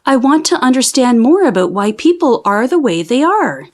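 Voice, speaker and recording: A woman's voice: sits high, sing-song, moderate pace, darkish tone. A woman voicing a thoughtful, eager young female explorer in a children's storybook, earnest and reflective. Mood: earnest, pondering.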